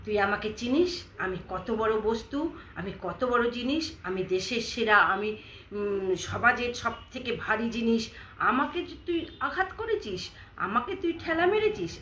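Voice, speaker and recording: A woman's voice: on the high side, a bit bright, slight background noise. A woman voicing a big, heavy, boastful hammer who blusters and threatens in a children's story. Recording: echoey room.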